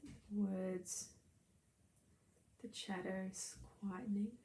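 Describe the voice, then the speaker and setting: A woman leads a guided meditation as a breathing teacher, speaking in a soft, soothing, gently instructive way that turns breathy at times. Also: mid-range voice, fairly even intonation, slow. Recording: roomy echo, faint background noise.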